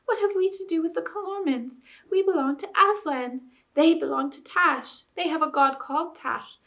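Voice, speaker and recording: A woman voicing a very young male lamb with a high, thin voice, pleading and earnest. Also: moderate pace, gravelly, fairly bright tone, full character voice.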